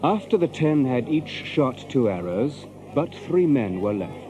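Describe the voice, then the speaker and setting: An actor playing a measured storyteller, reading scripted narration of a medieval adventure. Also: male voice, medium pitch, big pitch swings, background noise.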